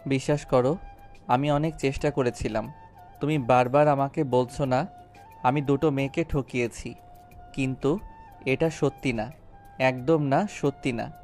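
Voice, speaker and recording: অডিও গল্পে একজন পুরুষ অভিনেতা এক তরুণ স্কুলশিক্ষক স্বামীর চরিত্রে, আন্তরিক ও অনুনয়ের সুরে প্রমিত বাংলায় কথা বলছেন।. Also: male voice, mid-range voice, steady tone, a little slow, long pauses, a little mellow, close to an everyday voice. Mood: helpless, regretful. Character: earnest young schoolteacher husband, apologetic and pleading. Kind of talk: audio story drama acting. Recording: background music under voice. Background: music.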